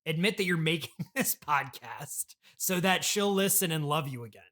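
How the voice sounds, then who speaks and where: A man's voice: on the high side, animated, moderate pace, bright, forceful tone. A man playing a bratty, mocking teenage son in an improvised comedy podcast interview, taunting and dismissive. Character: bratty, mocking fourteen-or-fifteen-year-old teenage son.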